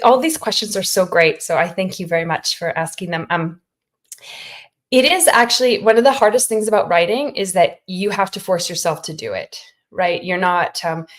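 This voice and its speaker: A middle-aged woman, an author, giving thoughtful, encouraging answers to questions in a conversational way. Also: fairly low pitch. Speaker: children's author, interview guest.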